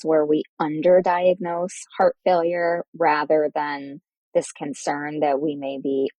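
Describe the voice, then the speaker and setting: A woman with a low voice hosts a medical interview podcast. She is formal and articulate, summing up points and posing questions. The sound is dry, with very little room on it. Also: warm tone, no echo, poor audio. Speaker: host, physician interviewer.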